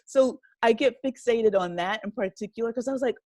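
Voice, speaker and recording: An American woman speaking casually as an interview guest, halting and often rephrasing, with plenty of "you know". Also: fairly high pitch, warm tone, dry recording, clean studio sound. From United States, a rural town. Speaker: interview guest, writer and director of an audio drama.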